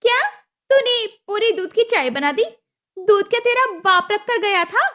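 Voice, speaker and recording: A woman voicing a shrill, greedy mother-in-law. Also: lively intonation, unhurried, pushed and bright, heavily acted. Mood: scolding. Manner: shrill, harsh, bossy. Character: greedy, scolding middle-aged mother-in-law.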